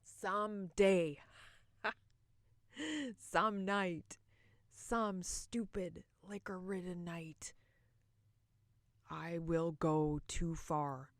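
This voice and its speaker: A woman performing a theatrical monologue as a bitter, boozy middle-aged wife, mocking and self-loathing, with dramatic pauses and swings between loud and hushed. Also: on the low side, steady tone, dry recording, clean studio sound. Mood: self-loathing, grim.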